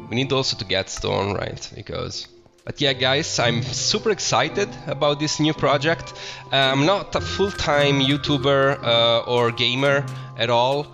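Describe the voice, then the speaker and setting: Italian-accented guy narrating his gameplay, gravelly voice, chatty and excitable, slightly muffled audio with background music. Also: medium pitch. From Italy. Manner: chatty, self-correcting, enthusiastic about the game. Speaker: Minecraft let's play host. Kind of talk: casual gameplay commentary.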